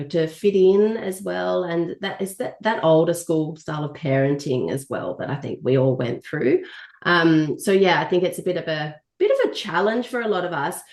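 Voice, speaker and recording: A woman with a husky voice from a mild cold co-hosts a relaxed podcast chat. She pitches a little low and rambles along, often stopping to correct herself. Her voice carries a roomy echo. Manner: chatty, rambling, corrects herself mid-sentence. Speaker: podcast co-host.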